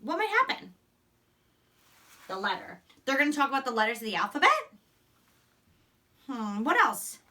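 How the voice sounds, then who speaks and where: A woman teacher giving a phonics lesson and read-aloud to young children, encouraging, enunciating deliberately and repeating words, picked up by a distant microphone. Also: on the high side, big pitch swings, sharp tone. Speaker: elementary school teacher.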